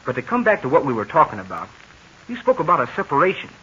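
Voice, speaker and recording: A man's voice: a little high, huge pitch swings, quick pace, pushed and bright, husky, full character voice. An eager teenage boy on old radio. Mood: earnest. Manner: eager, wide-eyed, hesitant with "well" and "gee". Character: earnest teenage boy, admiring and curious. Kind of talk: 1940s radio acting. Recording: dull scratchy 1940 radio transcription.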